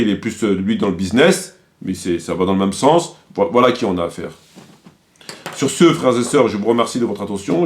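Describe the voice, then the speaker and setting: A man's voice: on the low side, slight background noise. A man giving argumentative, accusatory spoken commentary, insistently repeating phrases for emphasis. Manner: accusatory, polemical. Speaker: commentator presenting a video.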